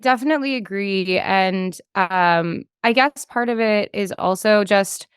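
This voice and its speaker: Woman on a podcast chatting casually, really crisp voice, dry close sound, lots of likes as she thinks out loud. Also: medium pitch, a little slow, no room sound. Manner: conversational, thinking aloud, frequent hedging with "like". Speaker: podcast guest, developer relations engineer. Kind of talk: casual interview chat.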